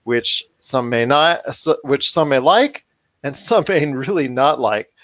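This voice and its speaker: A man's voice: mid-range voice, expressive, slow, a bit bright, no room sound. A man hosting a podcast in an upbeat, chatty style, wordy and given to repeating himself. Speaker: podcast host.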